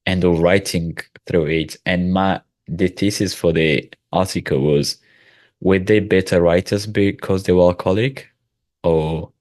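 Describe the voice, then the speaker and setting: A man with the flu hosting a casual video call, relaxed and given to laughing and asking thoughtful questions. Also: sits low, unhurried, no echo. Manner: relaxed, laughs often, asks reflective questions. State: sick with flu. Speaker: host.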